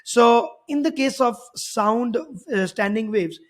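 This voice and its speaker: High-pitched male physics tutor. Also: slow. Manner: explanatory, repeats and rephrases points. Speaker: physics teacher. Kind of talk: worked-problem tutorial.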